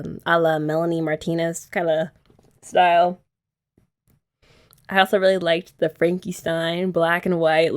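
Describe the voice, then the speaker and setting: A young woman doing girlfriend roleplay ASMR, chatty and teasing with rambling asides. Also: on the low side. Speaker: ASMR creator.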